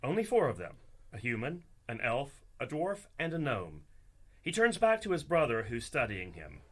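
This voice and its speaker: A man's voice: medium pitch, big pitch swings, moderate pace, fairly bright tone. A man hosting as dungeon master, narrating a scripted fantasy comedy in a theatrical storytelling style.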